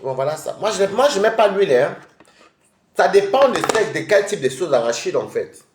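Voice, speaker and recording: A man's voice: high voice, very animated, normal speed, roomy sound, background noise. A man with a head cold, chatting casually with viewers on a live stream from home while he eats. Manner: chatty and rambling, addressing viewers directly.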